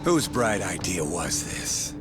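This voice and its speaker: A man's voice: deep voice, very animated, takes it slowly, over music, exaggerated voice. A man playing a smug, mocking middle-aged yakuza captain in a video game, drawling his theatrical threats. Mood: mocking menace. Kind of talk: dramatic video game cutscene acting.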